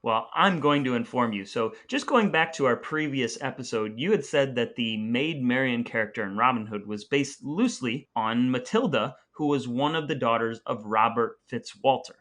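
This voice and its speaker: A man's voice: mid-range voice, moderate pace, few pauses, fairly bright tone. A man co-hosting a podcast in a conversational, partly scripted style, with playful mock-grandiose phrasing. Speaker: podcast co-host. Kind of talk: conversational podcast talk with semi-scripted intro.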